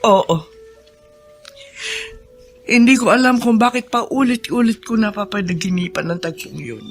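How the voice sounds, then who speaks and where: A woman's voice: a little high, unhurried, faint music behind. A woman playing a weary, troubled older housekeeper in a Filipino radio drama, grave in manner, with a Filipino accent. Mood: shaken, weary.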